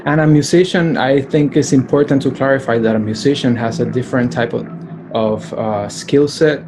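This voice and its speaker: A man from Puerto Rico, a music producer, speaking slowly and thoughtfully in a casual interview. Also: medium pitch, noisy background. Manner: reflective, slow, thoughtful pauses. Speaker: sound designer and music producer.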